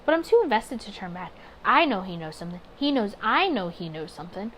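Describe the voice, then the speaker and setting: A woman with a mid-pitched voice reads a visual novel aloud as a let's play host. She slips between narration and chatty, candid asides. There is some background noise on the recording. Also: sing-song, fairly quick, fairly bright tone. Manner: chatty, candid side comments between read passages. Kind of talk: casual let's play, reading story text aloud with offhand reactions.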